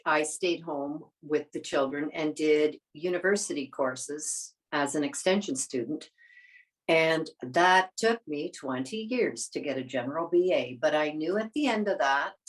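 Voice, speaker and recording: A woman's voice: low voice, low-quality recording. A woman in her seventies, born in the Netherlands, telling her story conversationally as an interview guest. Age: 70s. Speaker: interview guest, retired teacher.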